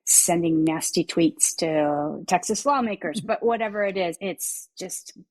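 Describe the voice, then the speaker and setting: A soft-spoken woman in her fifties with a quiet voice, talking casually and wryly as an interview guest. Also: lowish voice, unhurried. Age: 50s. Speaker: author, guest being interviewed.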